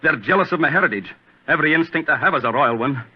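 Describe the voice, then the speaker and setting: An actor playing an agitated, self-important gentleman, fearful and obsessed, with a dramatic, overwrought delivery in 1940s radio drama style. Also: a little high, lively intonation, fast talker, slightly rough. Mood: proud, agitated. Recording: muffled old radio recording.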